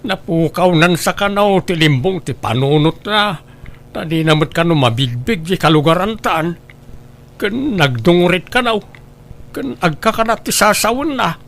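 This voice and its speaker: A man acts a helpful younger kinsman in a radio drama. His voice sits a little high, with an earnest, respectful, coaxing manner. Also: animated, moderate pace, creaky, fairly bright tone, full character voice. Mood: grave, earnest.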